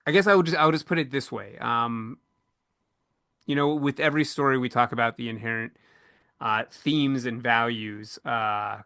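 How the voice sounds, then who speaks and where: A man's voice: mid-range voice, vocal fry, sharp tone, no room sound. Man hosting a podcast, close dry sound. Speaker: podcast host moderating a panel. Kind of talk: casual panel discussion.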